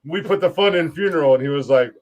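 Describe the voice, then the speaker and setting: A young man hosting a casual comedy podcast, loud and joking, swearing often and laughing a lot. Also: on the high side, fast, lo-fi sound. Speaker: podcast host.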